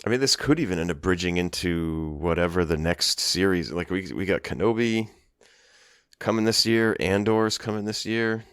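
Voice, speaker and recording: A man's voice: low voice, moderate pace, a bit bright, clear, resonant tone, dry recording, studio quality. A man co-hosting a casual podcast about a TV show. He rambles, restarts and laughs as he talks. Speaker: podcast co-host. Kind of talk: casual chat.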